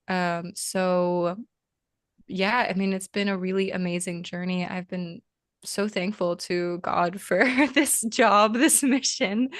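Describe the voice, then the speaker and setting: Guest: young woman raised in Lithuania and the US, smooth voice, dry close sound, earnest, rambling, lots of "um"s. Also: lowish voice, dry recording. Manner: earnest, meandering, many fillers. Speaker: podcast guest. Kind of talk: casual interview conversation.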